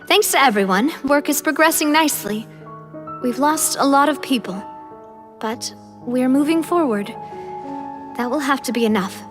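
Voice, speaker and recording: A woman's voice: high-pitched, lively intonation, moderate pace, music in the background. An actress playing a plucky young female community leader in a video game, friendly, casual and a little teasing. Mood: earnest, hopeful.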